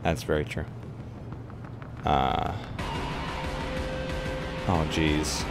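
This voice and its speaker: A man chatting casually as a tabletop roleplay player, thinking out loud and swearing as he reacts. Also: very deep voice, little pitch movement, very slow, loud music behind the voice. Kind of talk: casual game chat.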